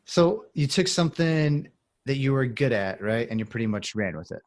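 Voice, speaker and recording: A man hosting a podcast, conducting a casual interview in a halting, conversational manner. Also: mid-range voice, expressive, no echo, clean studio sound.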